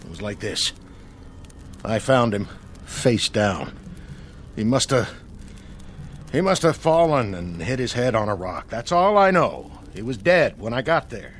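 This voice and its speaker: An actor playing a desperate, thirst-crazed soldier who stammers out excuses, in a radio drama. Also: male voice, lowish voice, very animated, measured pace, pauses often, slight background noise. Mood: pleading. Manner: pleading, stammering, turning cold and menacing.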